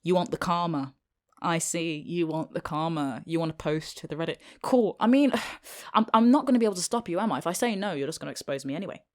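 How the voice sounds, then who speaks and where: A woman with a British accent playing a reluctant, exasperated teenage schoolgirl with a secret magical identity, in a casual, halting, sardonic ad-libbed audio roleplay. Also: lowish voice, natural voice. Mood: resigned, awkward.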